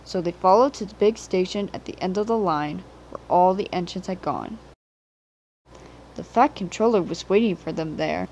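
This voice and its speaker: A woman's voice: on the low side, lively intonation, moderate pace, a little mellow, slight background noise, poor audio. A woman reading a children's story as a scripted narration, on an amateur home recording.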